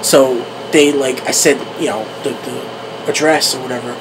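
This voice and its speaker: A man's voice: medium pitch, steady tone, moderate pace. Young male vlogger, central New Jersey accent, rambling and full of restarts, noisy camera audio with a hum behind. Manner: rambling, with many restarts and fillers, laughing at himself. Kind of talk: casual solo vlog monologue. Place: room at home, talking to a camera on a tripod. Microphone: camera microphone. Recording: noisy home camera recording.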